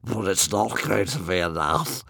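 A man voicing a big sardonic dragon who drawls slowly and mockingly. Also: fairly low pitch, lively intonation, vocal fry, bright, forceful tone, breathy edge, no echo, exaggerated voice. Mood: gloating. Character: big sardonic gloating male sabre-toothed dragon.

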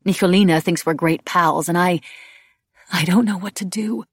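A woman voicing an irreverent, desperate young woman in an audiobook, pleading emotionally and haltingly. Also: on the low side, no echo, clean studio sound, natural voice. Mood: troubled, searching. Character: young woman, bitter, desperate, irreverent, praying to absent god. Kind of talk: audiobook character dialogue.

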